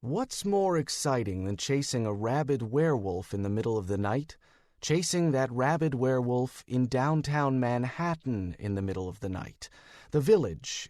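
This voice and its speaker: Animated American male audiobook narrator. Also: medium pitch, no echo, studio quality. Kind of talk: first-person scripted narration, wry detective fiction. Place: dry studio booth.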